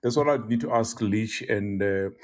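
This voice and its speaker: A man on an interview panel asking a long, rambling question, halting on repeated fillers. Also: fairly low pitch.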